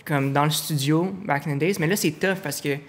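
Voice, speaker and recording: A man's voice: on the high side, normal speed. Laid-back young man with a raised voice. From Ontario, Canada. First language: English. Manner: relaxed, mixes English slang into French, chuckles often. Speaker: guest, music producer. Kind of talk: casual podcast chat.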